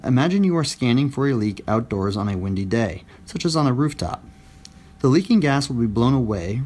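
A man reading a scripted product voice-over with a clear, even, explanatory delivery. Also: medium pitch. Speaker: product narrator.

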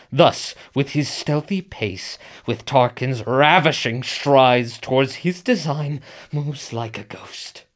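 An actor playing a brooding, conflicted nobleman with deliberate, theatrical verse delivery in a Shakespearean radio drama. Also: mid-range voice, natural voice. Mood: dark, rising.

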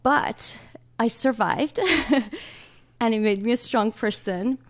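A woman's voice: fairly high pitch, slow. A woman in her forties from Iran with a slight Iranian accent, a doctor speaking earnestly as an interview guest into a studio mic. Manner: earnest, warm, laughs often. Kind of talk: casual interview talk. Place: small home studio room. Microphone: large studio mic with headphones.

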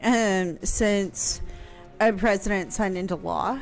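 A woman's voice: mid-range voice, takes it slowly, soft background music. A woman in her thirties explaining casually as a podcast historian, close on a broadcast mic in a room at home. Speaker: historian answering questions. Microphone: close broadcast microphone on boom arm.

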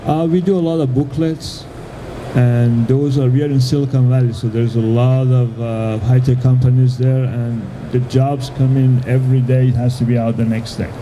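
A middle-aged man of medium pitch speaks as a business owner on a panel. His intonation stays flat and conversational. A handheld mic picks him up amid clear exhibition hall noise. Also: moderate pace. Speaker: panelist, print shop owner. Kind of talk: conversational interview answer. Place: large indoor exhibition hall. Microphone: handheld microphone. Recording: noisy trade show floor, ventilation hum.

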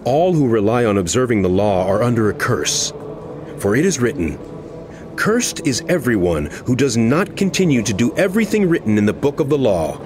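An actor playing an impassioned male apostle in a dramatized scripture reading, speaking emphatically and deliberately to a wayward flock. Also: mid-range voice, sing-song, background noise, close to an everyday voice. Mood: earnest, instructive. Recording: music under the voice. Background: background music.

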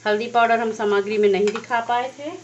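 A woman hosting a home cooking channel, calmly explaining each step of a recipe as she goes. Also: a little high, brisk. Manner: plain, instructive, walks through each step. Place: home kitchen at the stove. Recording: slightly dull lo-fi sound with faint music.

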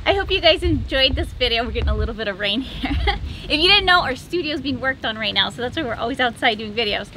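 A woman's voice: high voice, animated, fast, bright, forceful tone, a little hiss or hum, rough recording. An American woman in her thirties giving a casual, conversational product review to camera as host. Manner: conversational, informal. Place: backyard patio and home kitchen.

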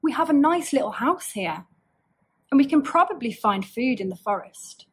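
A woman's voice: high-pitched, very animated, normal speed, fairly bright tone, husky, heavily acted. A woman voicing a cheerful, contented old donkey in a children's storybook.

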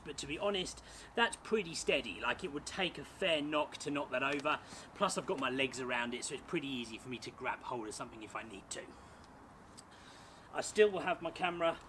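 A man in his thirties talks casually and cheerfully, explaining things one step at a time. His voice sits in a middle register. He's recorded outside in a small garden by a camera a few feet away, with clear outdoor noise and passing traffic behind him. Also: mid-range voice, pushed and bright. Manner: chatty, upbeat, explaining step by step. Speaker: sports photographer and vlogger. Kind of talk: casual gear walkthrough. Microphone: camera mic on a tripod, a few feet away. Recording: outdoor camera audio with noticeable background noise.

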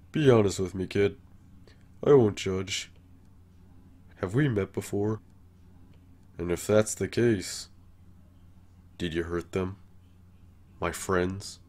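A man's voice: sits low, darkish tone. A man voicing a laid-back skeleton joker with a hidden menace, talking in a casual, wry drawl in a cartoon comic dub. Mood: grave, wary.

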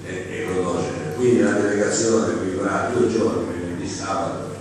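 A low-voiced, middle-aged man opens a press presentation, thanking guests by title and pausing on frequent fillers. He is heard from the back of a large council room over a table mic. The sound is roomy, with a long echo and a steady hum of room noise. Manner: halting, many fillers, lists names and titles. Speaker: local official opening a press presentation. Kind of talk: formal welcome speech at a press conference. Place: large council room with high walls, indoors. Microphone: table gooseneck mic, recorded from the back of the room. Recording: echoey hall, voice from far off.

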